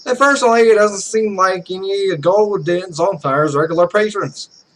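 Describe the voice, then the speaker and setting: A man's voice: high-pitched, moderate pace, low-quality recording. A man hosting a let's play, casually reading visual novel text aloud and commenting, often stumbling over the words.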